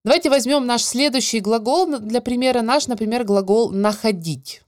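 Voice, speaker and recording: A woman teaching a French grammar lesson, explaining clearly and stretching out the example words. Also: on the high side, moderate pace, dry recording, studio quality. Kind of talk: scripted grammar lesson narration.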